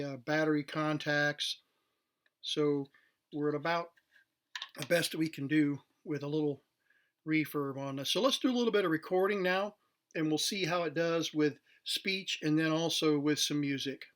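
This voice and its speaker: A man with a voice a little higher than average gives a casual, unscripted demonstration. He explains things plainly and somewhat hesitantly, as a hobbyist presenter showing equipment would. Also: normal speed, fairly bright tone. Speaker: vintage tech demonstrator.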